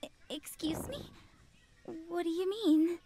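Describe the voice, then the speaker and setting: A woman's voice: very high-pitched, slow. A woman playing a timid, polite, nervous teenage girl in a visual novel game, hesitant and stammering, in a noisy game capture. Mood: shy, flustered. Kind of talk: anime-like visual novel voice acting.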